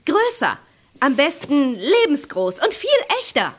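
An actress playing a bossy, critical young woman with a husky voice in an audio drama. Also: high-pitched, huge pitch swings, measured pace, heavily acted. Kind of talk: German children's audio drama acting.

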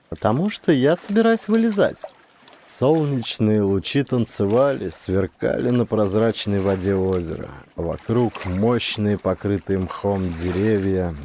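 A man's voice: fairly low pitch, mellow, slight background noise. A man reading scripted fiction as a dramatic audiobook narrator.

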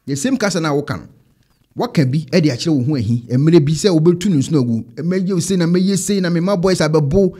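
A man's voice: on the high side, fairly quick, mellow, slightly rough. A man in his thirties with a Ghanaian accent giving emphatic, opinionated reaction commentary, repeating phrases to drive them home. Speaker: online commentary show host. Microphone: studio microphone close in front.

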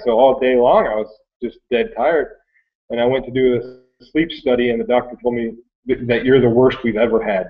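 A man in his thirties with an American accent, telling his story in a rambling, casual, conversational way. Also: medium pitch. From Michigan, United States. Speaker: online reseller sharing his story. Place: small cluttered workroom indoors. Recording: thin phone-like webcam audio.

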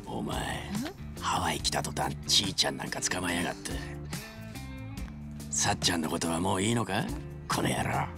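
A man playing a scruffy middle-aged buddy in a game, rough, teasing and gruffly affectionate. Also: sits low, takes it slowly, pauses often. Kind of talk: Japanese game voice acting. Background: music.

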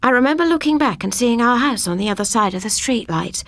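A woman narrating a scripted first-person ghost story as an audiobook reader, with a husky voice. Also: a little high, expressive, fast, fairly bright tone, no echo.

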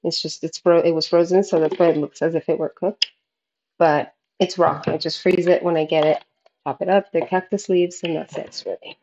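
A woman's voice: lowish voice, fairly even intonation, moderate pace, dark tone, dull audio. A woman in her thirties casually explaining a recipe in an informal way, recorded in a home kitchen. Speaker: home cooking vlogger.

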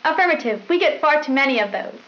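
A woman's voice: sits high, expressive, fast talker, fairly bright tone. A young woman playing a polite, perky school news anchor, formal and scripted, on a hissy old tape. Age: young adult. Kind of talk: scripted 1990s school newscast. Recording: dull hissy VHS broadcast sound.

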